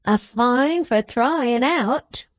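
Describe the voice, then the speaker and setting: A woman voicing a savage, rough man, a mocking villain who drawls his threats in an audiobook. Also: a little high, extremely slow, dry recording. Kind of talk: dramatised audiobook reading.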